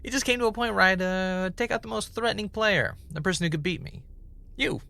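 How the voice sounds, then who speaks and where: An actor playing an intense, brooding podcast host in an audio drama, defensive and unnerved. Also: male voice, high-pitched, fairly quick, creaky, sharp tone, full character voice. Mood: friendly, guarded. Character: intense, obsessive, unnerved male podcast host, former game-show contestant. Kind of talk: audio drama mixing confessional monologue and conversation.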